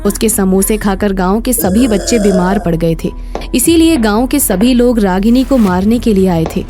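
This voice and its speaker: A woman's voice: medium pitch, moderate pace, music in the background. A woman narrating a scripted story as its storyteller.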